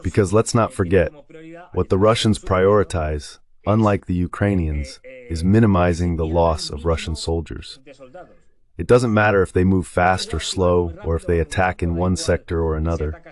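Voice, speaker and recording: A man with a low-pitched voice delivers dubbed political commentary. He works as the translated voice of a military analyst, setting out points in spoken commentary form. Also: normal speed, a little mellow.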